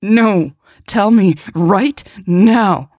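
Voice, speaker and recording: A woman's voice: medium pitch, extremely slow, a little mellow, no room sound, clean studio sound, voice pushed up. A woman narrator voicing a distraught, distrustful, stubborn woman who protests in an agitated manner in a romance story. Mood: angry, defiant.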